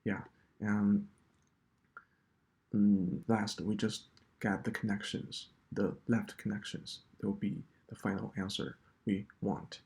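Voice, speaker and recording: A man walking through a coding solution step by step, in a halting explanatory way. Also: on the low side, little pitch movement, creaky, a little mellow. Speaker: programming tutor.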